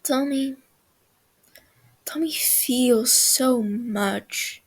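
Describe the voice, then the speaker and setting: A woman reading fan fiction aloud, softly and unhurried, sometimes dropping to a whisper. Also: high voice, breathy edge. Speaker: story reader.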